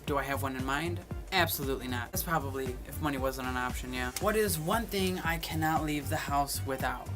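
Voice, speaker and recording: A man's voice: mid-range voice, brisk, hardly any pauses. Chatty young man over background music. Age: 20s. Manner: chatty, reads each question aloud, then answers. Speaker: vlogger. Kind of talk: casual chat answering questions to camera. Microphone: phone.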